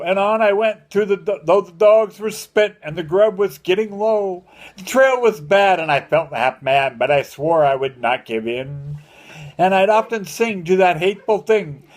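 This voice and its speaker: Older male, high voice, homespun grandfatherly verse reader with a theatrical, sing-song storyteller's rhythm. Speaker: grandfather reading a poem aloud to family. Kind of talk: dramatic amateur poem recitation.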